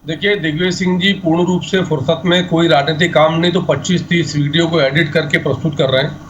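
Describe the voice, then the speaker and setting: A man's voice: on the high side, steady tone, quick pace, lo-fi sound, slight background noise. A man speaking as a party spokesperson in a televised debate, combative and accusatory, repeating his points.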